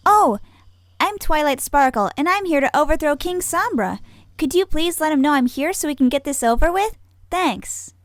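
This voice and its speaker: A woman's voice: high-pitched, animated, moderate pace, no room sound, studio quality. A woman voicing an earnest, polite young heroine pony in a cartoon audio drama, righteous and proper. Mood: earnest, puzzled.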